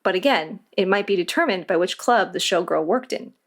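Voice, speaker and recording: A woman's voice: on the low side, expressive, a little fast. A woman answering as an interview guest, in a scripted but conversational explanatory style.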